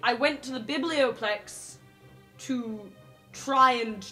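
A woman's voice: fairly high pitch, takes it slowly, pushed and bright, soft background music. A woman playing an awkward, apologetic young male student in a tabletop game, speaking hesitantly and earnestly. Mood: nervous, apologetic. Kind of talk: improvised tabletop roleplay.

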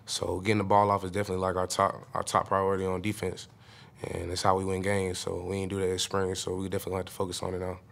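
A man's voice: low-pitched, monotone, fast, vocal fry, fairly bright tone. A young man, a college football player, earnestly answering press questions after a game in an informal way, with many "uh"s. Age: young adult. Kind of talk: postgame press conference answers. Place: press room in front of a logo backdrop. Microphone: table mic on a stand.

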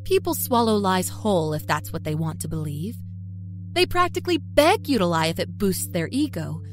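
A woman playing a self-satisfied small-town liar from Kentucky, telling her dark story in a folksy, confiding way. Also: fairly low pitch, very animated, moderate pace. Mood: smug, chatty. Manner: folksy, chatty, smugly confiding. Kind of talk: first-person dark storytelling. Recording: music under the narration.

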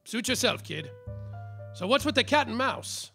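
An actor playing a world-weary, tipsy private detective in a slapstick noir radio play, with melodramatic hard-boiled narration. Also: male voice, very high voice, sing-song, normal speed, creaky, sharp tone, faint music behind, heavily acted. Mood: probing.

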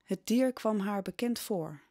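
A woman's voice: medium pitch, lively intonation, unhurried, mellow. Female narrator for historical audio drama: mid-range voice, dramatic scripted storytelling.

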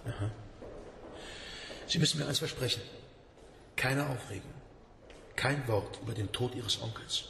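Strict guarded clinic doctor in an audio drama, creaky voice, short orders, noisy background. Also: male voice, mid-range voice, measured pace, long pauses. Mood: stern, guarded. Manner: clipped, authoritative. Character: stern, guarded male psychiatric doctor. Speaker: actor in a radio play. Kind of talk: naturalistic radio drama dialogue.

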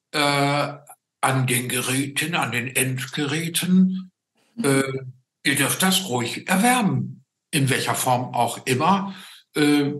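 A man in his fifties hosts a casual online chat from a roomy-sounding space at home. His delivery is slow and hesitant, with a medium-pitched voice. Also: fairly bright tone. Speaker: interview host. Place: indoors at home, small room. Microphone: video call. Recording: roomy, echoey video-call sound.

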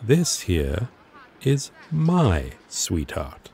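A man playing a possessive, quietly menacing young man in an ASMR roleplay drama. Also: lowish voice, huge pitch swings, extremely slow, gravelly, slightly rough, heavily acted. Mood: possessive, threatening. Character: possessive, menacing young male friend, protective of his sweetheart.

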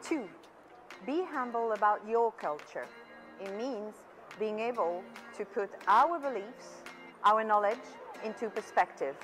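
A woman's voice: mid-range voice, animated, a little slow, fairly bright tone. A French-speaking woman from Belgium in her forties, presenting a scripted promotional video. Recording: video played with music behind. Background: music.

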